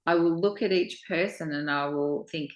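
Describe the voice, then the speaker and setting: A woman sharing personal reflections with halting, thoughtful phrasing in a discussion, with a roomy, echoing sound. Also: low voice.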